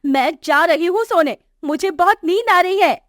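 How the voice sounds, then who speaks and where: Casting: arrogant young wife, acted by a woman, high voice, scornful, sharp-tongued storybook scolding, dry sound. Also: lively intonation, moderate pace, no room sound. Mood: contemptuous, irritated. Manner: scornful, complaining. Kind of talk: acted moral story dialogue for animation.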